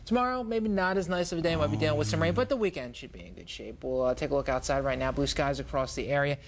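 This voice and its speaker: A man's voice: fairly high pitch, expressive, fast talker, a little hiss or hum. A man with an American accent giving a conversational weather forecast as a TV meteorologist.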